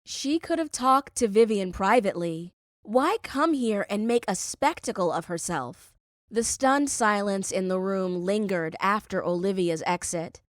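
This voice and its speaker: A woman's voice: medium pitch, lively intonation, a little slow, dry recording, clean studio sound. A woman reading scripted first-person fiction as an audiobook narrator.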